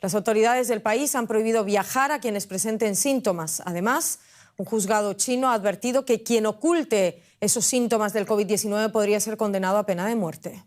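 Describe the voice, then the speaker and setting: A woman reading a news bulletin. Her delivery is crisp, neutral and measured in a standard broadcast style. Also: medium pitch, a little mellow. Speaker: news anchor.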